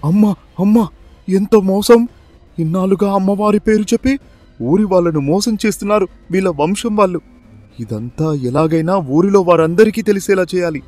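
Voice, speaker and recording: An actor playing a poor, honest, wronged village farmer in a cartoon moral story, speaking in an emotional, exclamatory way. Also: male voice, high voice, moderate pace, darkish tone, no room sound, soft background music, natural voice. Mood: shocked, resolute.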